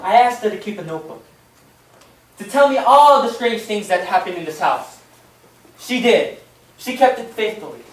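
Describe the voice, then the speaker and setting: An actor playing a stern, accusing investigator in an old-time radio play, speaking deliberately and theatrically. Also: medium pitch, expressive, reverberant, recorded from a distance, a little hiss or hum, close to an everyday voice, rough recording.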